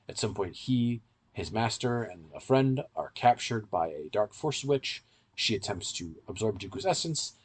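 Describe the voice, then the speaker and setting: A low-pitched man with a somewhat husky voice talks as a podcast co-host. He explains and analyses in a casual way, often correcting himself as he goes. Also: a little mellow.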